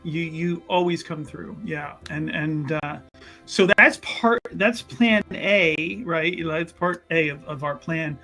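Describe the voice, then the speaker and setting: A self-important, smooth-talking schemer acted by a man in a tabletop roleplaying game. His voice is bright and somewhat high for a male, grandiose yet halting and evasive. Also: faint music behind, exaggerated voice, rough recording. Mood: conspiratorial. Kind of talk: improvised in-character tabletop roleplay.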